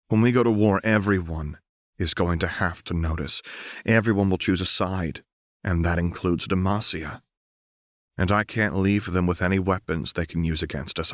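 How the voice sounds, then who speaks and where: A man reading the part of a gentle, resolute young wife in an audiobook, soft, earnest and sorrowful. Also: low-pitched, no echo, studio quality, natural voice.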